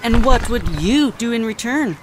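A man playing a gentle, wise little songbird who tells a story politely and in measured phrases. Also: very high-pitched, noisy background. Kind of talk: audio drama acting and storytelling.

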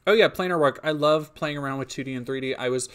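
A man's voice: medium pitch, quick pace, a bit bright, clean tone. A young man chatting casually on a stream, answering chat, rambling with frequent ums and restarts, a little sniffly and sleepy. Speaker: streamer and indie game developer.

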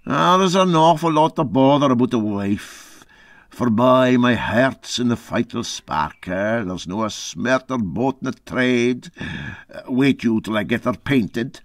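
Man acting a boastful old Scottish sea captain, very animated. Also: mid-range voice, a little slow, dry recording, clean studio sound. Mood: dismissive. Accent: Scottish Highland. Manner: garrulous, folksy, emphatic.

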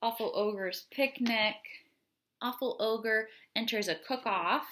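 A woman in her thirties reading children's poems aloud in an expressive, deliberate way, with chatty asides. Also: on the high side. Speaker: read-aloud host. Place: small home room, indoors.

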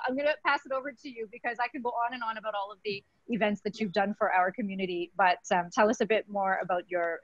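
A woman's voice: mid-range voice, no echo, dull audio. A woman hosting an online panel, introducing each guest in a chatty way with fillers. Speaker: host and moderator.

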